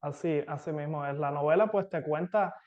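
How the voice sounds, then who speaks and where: A man from Cuba with a Cuban accent, a novelist explaining himself as an interview guest, with hesitant pauses, over dull video call audio. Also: fairly high pitch. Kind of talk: conversational interview answers.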